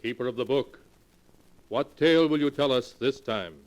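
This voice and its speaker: A man announcing a 1940s radio drama in a solemn, booming manner. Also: normal speed, lightly acted, low-quality recording. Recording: old radio recording with music.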